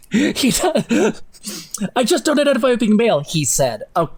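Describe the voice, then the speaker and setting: A man's voice: extremely high pitch, sing-song, a little slow, gravelly, slight background noise. A young man hosting a live stream, reading aloud dramatically with mocking, sweary, theatrical commentary. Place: home room, indoors.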